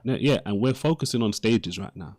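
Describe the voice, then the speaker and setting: A man's voice: fairly low pitch, expressive, brisk, darkish tone. A man arguing in an unscripted live debate as its host, insistently repeating and restarting his phrases.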